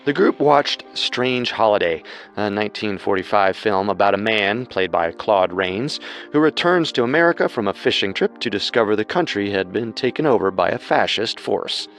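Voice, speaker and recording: A man's voice: lowish voice, expressive, normal speed, a bit bright. A man co-hosting a scripted true-crime show as narrator, with music underneath. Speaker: co-host and narrator.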